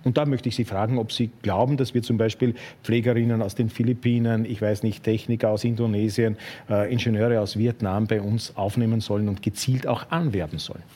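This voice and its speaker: A male host presses his guests with careful, probing questions. His voice is low and husky. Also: steady tone, fairly quick, dark tone. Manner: probing, with filler sounds. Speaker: talk show host. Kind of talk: moderating a debate.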